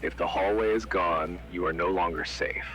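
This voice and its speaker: A man voicing a grim emergency broadcast announcer who gives flat, ominous, commanding warnings. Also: low-pitched, normal speed, a loud crowd, natural voice, rough recording. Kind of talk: analog horror warning broadcast.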